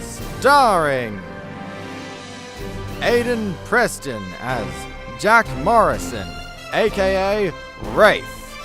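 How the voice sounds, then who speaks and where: Slow, animated male radio play narrator. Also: high voice. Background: music behind the voice.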